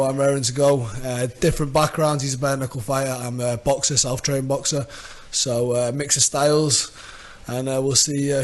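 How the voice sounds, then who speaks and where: North Welsh boxer, mid-pitched, relaxed interview answers. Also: male voice. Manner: casual, easygoing, many fillers.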